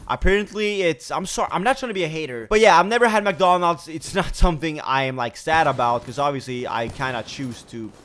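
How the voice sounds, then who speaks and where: A man's voice: a little high, a little fast, few pauses, a bit bright. A young man from Sweden, a gaming YouTuber, chatting energetically and rambling with many fillers while getting over a cold and sore throat. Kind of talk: casual vlog chat.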